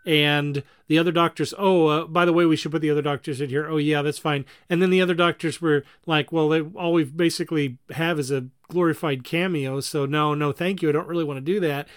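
A man with a somewhat high-pitched voice chats as a podcast co-host. He reviews conversationally and slips into imagined quotes to make points. The recording is dry and free of room echo. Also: steady tone, a little fast, few pauses, clear, resonant tone. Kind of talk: casual discussion and review.

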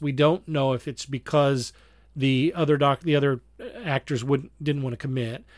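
A man with a mid-pitched voice discusses things as a podcast co-host, recorded dry with very little room sound. He is casual yet analytical, weighing points in a measured, reflective way. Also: dry recording.

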